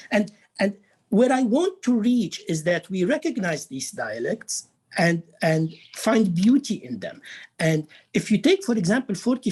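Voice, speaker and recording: A man with a Beirut Lebanese accent talks on a conference panel in a lively, sing-song way, his pitch climbing and falling. His voice has a husky edge. He restarts phrases and keeps checking in with his listeners. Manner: animated, repeats words, frequent tag "right?". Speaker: professor of Arabic, panel guest. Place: conference stage.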